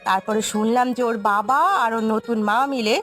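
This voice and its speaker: A woman's voice: a little high, steady tone, normal speed, fairly bright tone, natural voice. Lady doing a gossipy village neighbour in a cartoon, indignant and confiding, faint music behind. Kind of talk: cartoon drama acting.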